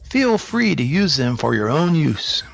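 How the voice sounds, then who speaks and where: Male narrator, slightly high-pitched, for a motivational script; measured and didactic, with light music underneath. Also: sing-song, creaky. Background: background music.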